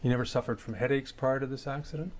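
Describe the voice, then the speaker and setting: A man acts as a businesslike lawyer in a legal dramatization. He fires off formal questions at a quick clip, methodical and matter-of-fact. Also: medium pitch, steady tone, natural voice. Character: brisk, methodical opposing lawyer questioning a witness. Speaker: examining lawyer in a dramatized discovery.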